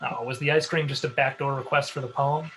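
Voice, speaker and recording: A man's voice: mid-range voice, fairly even intonation, a little fast, a bit bright, slight background noise, natural voice, low-quality recording. A man playing a mild, naive boyfriend in a comedy table read. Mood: earnest. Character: mild, naive boyfriend, former preschool teacher.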